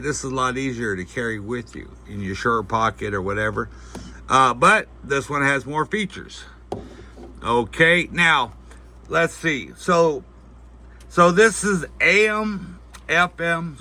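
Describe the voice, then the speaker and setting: Male reviewer, off-the-cuff and folksy, with a very sharp, bright tone and halting, rambling delivery. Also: medium pitch. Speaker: product reviewer. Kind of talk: casual unscripted gadget review.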